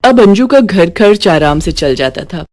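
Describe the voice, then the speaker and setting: A woman's voice: lowish voice, expressive, normal speed, a little mellow. Woman narrating a scripted moral story.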